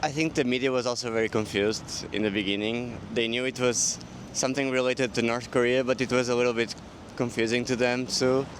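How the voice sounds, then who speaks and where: A man of twenty-five from Portugal with a Portuguese accent, speaking somewhat haltingly in a casual outdoor interview. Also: medium pitch, steady tone. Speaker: street interviewee. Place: city street outdoors. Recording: outdoor street with faint traffic noise.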